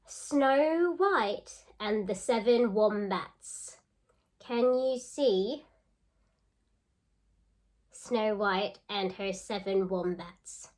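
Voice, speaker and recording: A woman teacher reading a picture book aloud to children, expressive and pausing to put questions to listeners. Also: medium pitch.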